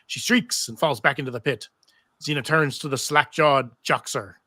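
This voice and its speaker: Bright, dry-sounding male script narrator. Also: medium pitch, moderate pace, no echo. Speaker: narrator reading stage directions. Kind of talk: live table read narration of a TV script.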